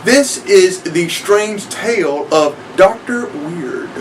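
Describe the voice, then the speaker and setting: Slightly distant and a little noisy, a young man chats to his viewers. His voice is on the high side, and he rambles casually with many hesitations. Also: lively intonation, slow. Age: young adult. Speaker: online reviewer and aspiring voice actor. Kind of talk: casual vlog introduction. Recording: distant mic with background noise.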